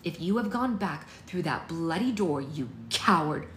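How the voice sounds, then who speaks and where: A woman's voice: fairly low pitch, lively intonation, moderate pace, natural voice. Woman acting as storybook heroine, hot-tempered grown daughter, blunt, wounded, emphatic, roomy sound. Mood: furious. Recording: roomy, faint background noise.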